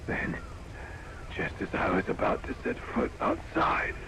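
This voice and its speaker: Man acting a desperate, slow-talking infected lab staffer. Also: noisy background, natural voice. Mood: feverish desperation. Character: infected male laboratory staffer, desperate, grimly resolute, fighting parasites' control. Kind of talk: recorded confession in dramatic game voice acting.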